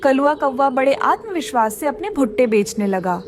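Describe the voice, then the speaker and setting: High, expressive female storyteller. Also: moderate pace, slight background noise. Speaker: narrator. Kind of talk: scripted children's story narration.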